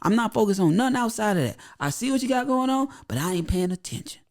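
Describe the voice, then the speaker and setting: A man talking as a podcast guest who has a high-pitched voice, speaking bluntly and repeating his points for emphasis. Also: expressive, fast talker. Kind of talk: casual podcast conversation.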